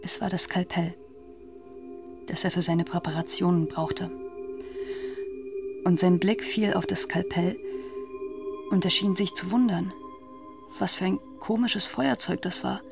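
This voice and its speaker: An actress playing a grave, haunted narrator in a literary radio drama, telling the story in a measured, deliberate way. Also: female voice, fairly low pitch, fairly even intonation, vocal fry. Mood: haunted, solemn. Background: music.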